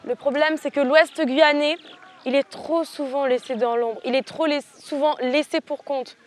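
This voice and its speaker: Impassioned young woman, high voice. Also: steady tone, measured pace, a little hiss or hum. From French Guiana. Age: teens. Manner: impassioned, rhetorical, repeats phrases. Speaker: student activist leader. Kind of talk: interview answers.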